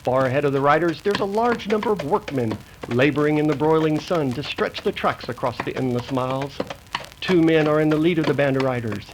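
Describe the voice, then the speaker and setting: A man's voice: fairly high pitch, brisk, background noise, close to an everyday voice. An American man narrating an old-time western radio drama in a dramatic, grandiose manner. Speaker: announcer and narrator. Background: music.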